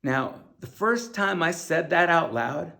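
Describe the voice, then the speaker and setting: A man reads his own first-person memoir as scripted audiobook narration, in an earnest, deliberate manner with emphatic stresses. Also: on the high side, lively intonation, gravelly, pushed and bright. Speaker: author reading own audiobook.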